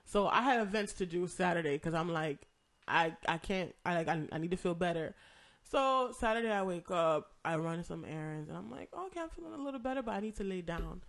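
A hoarse podcast co-host who is losing their voice to a cough. They tell rambling stories full of swearing in easy, casual chat, and their voice sits bright and forward. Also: medium pitch, expressive, brisk. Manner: profane, rambling storytelling.

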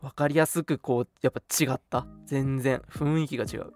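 A man chatting casually as a radio co-host, recounting stories in an animated way with acted-out quotes. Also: mid-range voice, normal speed, soft background music. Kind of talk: casual chat, recounting an anecdote.

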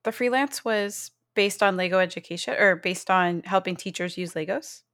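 A woman's voice: lowish voice, moderate pace, a bit bright. A woman hosting a conversational interview, asking questions in a casual way. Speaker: interviewer, podcast host.

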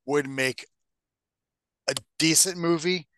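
A man's voice: a little high, steady tone, slow, fairly bright tone, dry recording, studio quality. A man co-hosting a podcast in casual, profane banter, giving blunt opinions. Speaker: podcast co-host.

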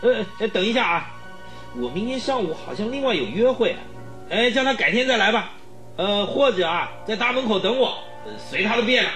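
A snooty stubborn oddball in a radio play, high sharp voice, dismissive, hems a lot. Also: male voice, expressive, a little fast, faint music behind. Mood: grumpy, dismissive. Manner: pompous, scornful, hemming. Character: haughty, stubborn, eccentric rich man, dismissive of callers. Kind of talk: Mandarin radio drama acting.

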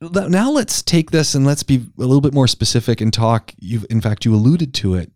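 A man hosting a marketing podcast, introducing the episode and steering the interview with a guiding, deliberate delivery. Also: medium pitch, expressive, darkish tone, no room sound, studio quality. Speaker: podcast host.

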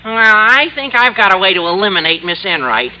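An actor playing an eager, scheming high school boy with a squeaky adolescent voice in an old radio comedy. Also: very animated, a little fast, bright, forceful tone, a little hiss or hum, heavily acted, low-quality recording. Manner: earnest, wheedling, self-justifying. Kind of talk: 1950s radio comedy acting. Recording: old radio broadcast recording.

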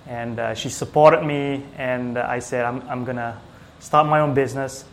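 A man from Singapore chatting casually as an interview guest, with hesitant, halting phrasing. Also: mid-range voice, steady tone. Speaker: small business owner, IT technician, interview guest.

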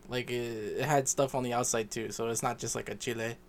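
A man's voice: medium pitch, steady tone, brisk, slight background noise. A man chatting casually with friends, laid-back and mostly giving short reactions. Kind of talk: casual chat among friends.

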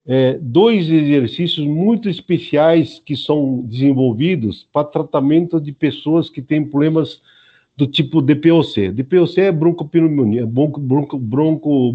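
A man's voice: a little high, unhurried, breathy edge, muffled recording. A Brazilian man in his early seventies explaining things informally in an interview, in a didactic way with frequent checking tags. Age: early 70s. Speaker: senior Aikido instructor, interview guest.

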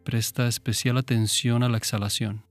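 A man guiding a meditation in a calm, gentle, unhurried manner with pauses. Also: mid-range voice, no room sound, soft background music. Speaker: meditation guide. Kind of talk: guided meditation.

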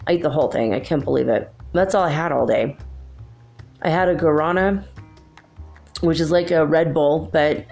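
A woman's voice: low-pitched, vocal fry, dark tone. A middle-aged woman hosting a podcast, rambling casually with many ums and asides, a little congested from feeling under the weather. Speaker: podcast host. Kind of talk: casual solo podcast chat.

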